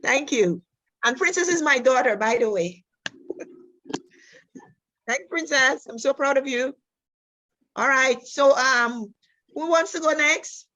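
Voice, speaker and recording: A woman's voice: high voice, animated, slow, pauses often, pushed and bright, rough recording. A middle-aged woman, hosting an online youth group and praising each speaker by name. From Dominica. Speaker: host of a youth group session.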